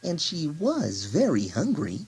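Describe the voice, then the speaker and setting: A woman narrating a children's story expressively, with gentle, exaggerated emphasis for young listeners. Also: sits low, huge pitch swings, measured pace, breathy edge. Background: music.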